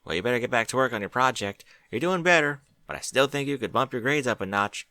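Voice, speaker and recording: A man's voice: mid-range voice, lively intonation, fast talker, bright, forceful tone, no echo. A man voicing a practical, down-to-earth farm pony mare who teases her little sister. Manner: teasing, down-to-earth.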